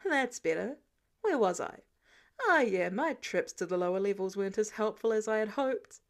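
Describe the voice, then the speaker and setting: A woman's voice: medium pitch, animated, moderate pace, close to an everyday voice. A woman voicing a sardonic older male inventor who teases his young companion in an audiobook story. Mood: explaining, wry. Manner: dry, exasperated, teasing.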